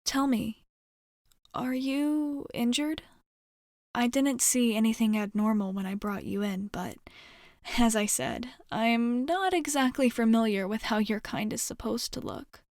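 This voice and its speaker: A woman playing a gentle, curious, lonely alien space nomad in an ASMR roleplay, soft-spoken and hesitant, with a reassuring manner. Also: fairly high pitch, a little slow, no echo, studio quality. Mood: concerned, caring.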